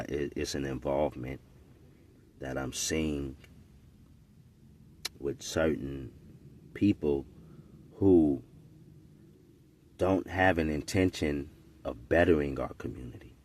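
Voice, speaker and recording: Very deep-voiced preachy man in his forties. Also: steady tone, measured pace, long pauses. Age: 40s. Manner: earnest and preachy, often tags "right?". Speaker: podcast commentator. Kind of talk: unscripted spoken monologue.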